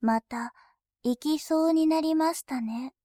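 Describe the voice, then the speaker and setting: A woman voicing a composed female bank clerk who speaks in very formal, deliberate language and turns dominating and scornful. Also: sits high, mellow, no room sound, clean studio sound. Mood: polite teasing. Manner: very formal polite speech, slow and deliberate. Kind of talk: adult audio drama acting.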